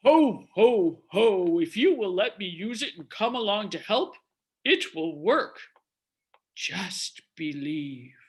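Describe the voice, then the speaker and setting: Lady doing a jolly old father christmas type for a kids story, big hearty voice, slow n emphatic. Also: medium pitch, lively intonation. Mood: hearty, reassuring.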